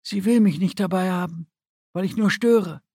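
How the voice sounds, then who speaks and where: A man's voice: sits high, moderate pace, creaky, warm tone, husky, dry recording, clean studio sound, pitched above the performer's own voice, heavily acted. A man voicing an insecure, anxious and resentful young female recruit with a hesitant, inward, self-doubting manner in an audiobook.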